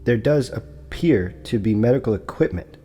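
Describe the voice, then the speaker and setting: An actor playing a meek, courteous, big property broker who stammers on his consonants and speaks in a formal, halting way in an audio drama. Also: mid-range voice, expressive, warm tone, faint music behind, lightly acted. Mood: polite, hesitant. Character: large muscular male property broker, meek, anxious, courteous.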